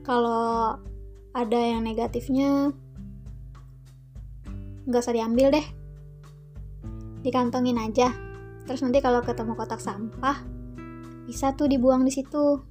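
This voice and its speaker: A woman's voice: a little high, steady tone, a little slow, pauses often, darkish tone, soft background music. A woman hosting a solo podcast in a casual, slangy, playful chat. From Indonesia. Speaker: podcast host.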